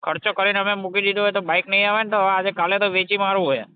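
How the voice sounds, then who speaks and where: A man's voice: sits high, steady tone, very fast, clean tone, no room sound, close to an everyday voice. A man playing an exasperated, foul-mouthed garage mechanic, scolding impatiently in a scripted comedy phone call. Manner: scolding, impatient, swearing constantly. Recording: dull lo-fi phone call recording.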